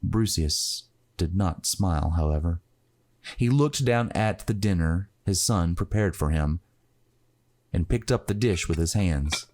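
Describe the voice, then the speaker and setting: A man's voice: sits low, animated, measured pace, a little mellow. A man narrating a scripted fantasy story, with plucked guitar music playing behind him.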